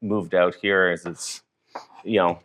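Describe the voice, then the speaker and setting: A man's voice: deep voice, flat delivery, slow, fairly bright tone. A man hosting a casual interview, asking easygoing questions that often restart midway. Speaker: host, interviewer.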